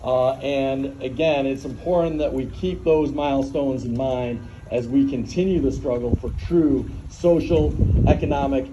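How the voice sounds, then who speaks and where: A man giving an earnest, measured welcome speech as an official at a public civic event. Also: mid-range voice, warm tone, dull audio. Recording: noisy event recording.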